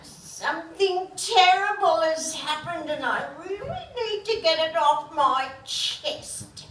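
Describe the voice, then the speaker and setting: A woman playing a dotty, secretive patient in a live comedy play, halting and oblivious as she confides. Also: extremely high pitch, a bit bright, exaggerated voice. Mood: prim, confiding. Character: dotty, hard-of-hearing, secretive female therapy patient. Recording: echoey, distant stage recording, background noise.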